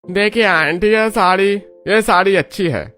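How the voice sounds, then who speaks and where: A man's voice: high-pitched, a little slow, sharp tone, faint music behind. A man playing an eager, obliging sari seller in an acted story. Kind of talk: acted sales patter.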